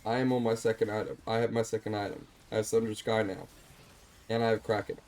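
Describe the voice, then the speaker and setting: A man calmly walking through a replay of his own game, explaining his choices, with a slightly stuffed-up voice. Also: lowish voice, fast talker. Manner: calm, explanatory, self-deprecating. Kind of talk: gameplay commentary over a replay.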